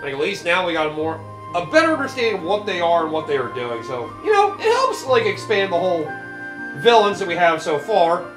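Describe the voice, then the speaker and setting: Rambling man with lively pitch, echoey. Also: brisk, music in the background. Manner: rambling, hesitant, many fillers. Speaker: let's play co-host. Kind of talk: casual commentary chat.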